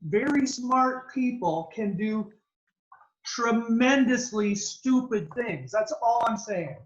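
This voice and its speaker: A man's voice: extremely high pitch, lively intonation, dull audio, heavily acted. A man reading a blunt, lecturing dad. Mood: matter-of-fact. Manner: brisk, matter-of-fact, lecturing. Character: blunt, logical father of a teenager. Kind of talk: screenplay table reading.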